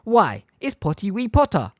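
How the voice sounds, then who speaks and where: A man's voice: sits high, wildly swooping pitch, moderate pace, dry recording, studio quality, pitched above the performer's own voice. A man reading an audiobook voices a mischievous little poltergeist who taunts in a mocking, sing-song way.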